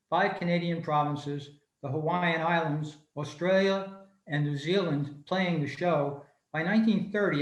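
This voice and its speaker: A man reading a prepared informational talk as a presenter at an online club meeting, recorded over a video call. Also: a little high. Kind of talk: scripted informational talk, read aloud. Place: room, remote video meeting. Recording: echoey room on a video call.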